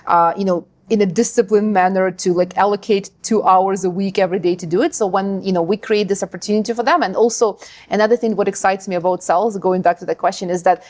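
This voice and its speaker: A woman's voice: medium pitch, steady tone, fast talker, darkish tone. A woman from Ukraine, a language school founder, talking casually as a podcast guest, full of fillers and tag questions. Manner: chatty, many fillers and "right?". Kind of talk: podcast interview.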